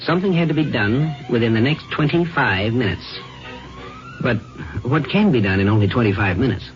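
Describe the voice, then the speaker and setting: A man narrating a 1950s radio drama from a script in a deliberate, dramatic manner. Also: mid-range voice, expressive, faint music behind. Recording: muffled 1950s radio recording.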